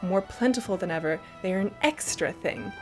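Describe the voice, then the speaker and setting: A woman's voice: medium pitch, animated, fairly quick, darkish tone, faint music behind. A woman giving chatty, enthusiastic and emphatic scripted commentary as the host of a gaming news show.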